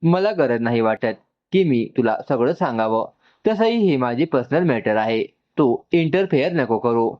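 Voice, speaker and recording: A defiant, wounded young woman in a storybook family drama performed by a man, answering firmly and with exasperation. The pitch swings widely, on a slightly dull lo-fi recording. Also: lively intonation, moderate pace, close to an everyday voice. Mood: defiant irritated. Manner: curt, firm retorts.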